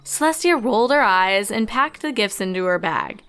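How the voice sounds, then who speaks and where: A woman reading a scripted story aloud as its narrator, in an expressive storytelling style. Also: mid-range voice, normal speed, smooth. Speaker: fan fiction narrator. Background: background music.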